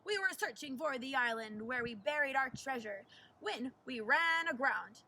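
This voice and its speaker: A cranky storybook pirate captain, played by a woman, hailing heartily in salty seafaring talk. The voice is bright and forceful, with a high pitch for a captain. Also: lively intonation, moderate pace, distant mic, a little hiss or hum, exaggerated voice. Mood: hearty.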